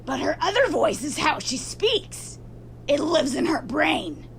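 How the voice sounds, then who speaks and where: A woman's voice: high voice, sing-song, unhurried, slight background noise, exaggerated voice. A woman playing a menacing, protective spirit in a horror radio drama, drawing out words in an eerie voice. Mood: eerie, defiant. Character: ghostly possessing spirit in a madwoman, menacing, protective.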